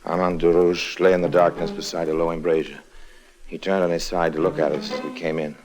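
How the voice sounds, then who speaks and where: An actor playing a smooth-talking, roguish adventurer in a 1950s radio drama, glib and wry, both in dialogue and telling his own story. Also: low-pitched, little pitch movement, a little fast, natural voice. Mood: brooding narration. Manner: wry, glib, stammers when cornered. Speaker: lead actor and narrator. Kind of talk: 1950s radio drama acting and first-person narration. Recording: old 1950s radio recording.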